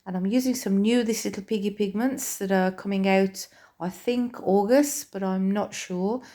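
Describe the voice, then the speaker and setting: A woman with a croaky voice, calmly talking through her painting in a casual voice-over. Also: medium pitch. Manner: relaxed, unhurried, with fillers and restarts. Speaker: fluid art vlogger.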